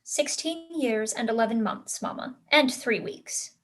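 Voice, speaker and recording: A woman's voice: a little high, unhurried, fairly bright tone. A young woman acting a precocious sixteen-year-old aristocratic girl in a staged reading, emphatic and self-assured. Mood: eager, curious. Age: young adult. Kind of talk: staged reading of a period comedy.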